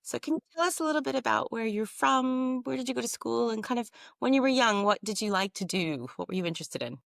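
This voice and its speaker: A woman's voice: a little high, animated, fast, creaky, fairly bright tone, no echo. Female host, upbeat and enthusiastic, very varied intonation, dry close sound, conversational questions. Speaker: interviewer and host. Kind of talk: friendly interview questions.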